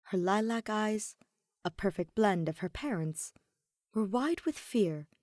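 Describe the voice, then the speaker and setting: Slow, soft woman narrating a story. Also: medium pitch, animated, dry recording, clean studio sound. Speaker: fan fiction audiobook reader. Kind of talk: scripted dramatic story narration.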